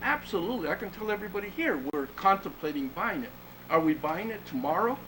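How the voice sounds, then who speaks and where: A man with a high voice acting as city manager, walking a resident through the details in a measured, explanatory tone. The council chamber recording carries a lot of background noise. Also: animated, fairly bright tone. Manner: measured and explanatory, with fillers and restarts. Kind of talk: answering questions at a council meeting. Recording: noisy meeting-room recording.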